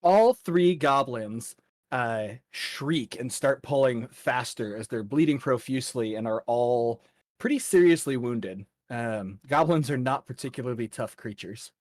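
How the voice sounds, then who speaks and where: A man acting as game master, narrating a tabletop fantasy game off the cuff in a measured, halting way. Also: medium pitch, animated, no echo.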